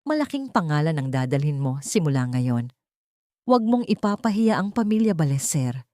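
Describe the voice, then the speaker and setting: A woman's voice: medium pitch, mellow, no room sound, studio quality, lightly acted. A woman voicing a haughty elderly mother-in-law in an audio love story, falsely sweet, controlling and lecturing. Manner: condescending, lecturing.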